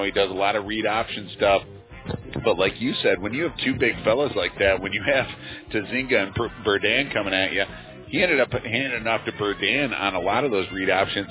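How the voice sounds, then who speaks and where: A man in his fifties, a radio announcer chatting casually about a high school football game. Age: 50s. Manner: relaxed, chatty, with frequent fillers. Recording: tinny phone-like radio line with faint music.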